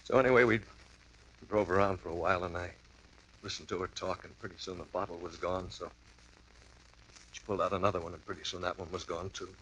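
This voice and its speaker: A rattled man who wavers between dazed mumbling and near-hysterical insistence. His voice is bright and sharp-edged. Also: low-pitched, steady tone, fast, long pauses, a little hiss or hum, rough recording. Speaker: client telling a story. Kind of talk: 1950s radio drama acting.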